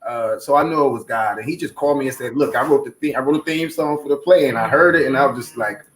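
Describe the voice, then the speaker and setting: A man's voice: mid-range voice, quick pace. A man giving a casual, conversational testimony as a guest, often checking that the listener follows him. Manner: peppers talk with "you know what I'm saying". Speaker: guest from a stage play's team. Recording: echoey room, faint background noise.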